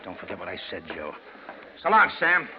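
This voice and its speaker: An actor playing a bitter, menacing convicted mobster, curt and slangy in 1940s radio crime style. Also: male voice, low voice, huge pitch swings, brisk, a little hiss or hum. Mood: bitter, threatening. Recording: muffled old radio recording.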